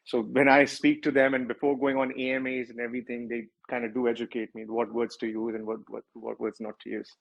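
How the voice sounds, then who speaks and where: A man's voice: medium pitch, little pitch movement, brisk, vocal fry, hardly any pauses, highs cut off. A man with a strong Indian accent, a project founder answering questions in a livestreamed interview, talking at length and often correcting himself. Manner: rambling, self-correcting, with many filler words.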